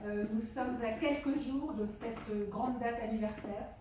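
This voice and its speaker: A woman with a high voice addresses a public meeting as the official chairing it. Her delivery is deliberate and halting, with frequent pauses between phrases. The sound is very reverberant and distant from the microphone. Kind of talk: opening speech at a public meeting. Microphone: handheld portable microphone. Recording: echoey hall, distant microphone, faint noise.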